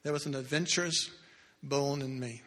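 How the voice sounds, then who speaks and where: A man in his sixties, not a native English speaker, telling his life story earnestly as a testimony in church, with halting restarts. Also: medium pitch, fairly even intonation, a bit bright. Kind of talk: personal testimony talk. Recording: echoey hall with long reverb.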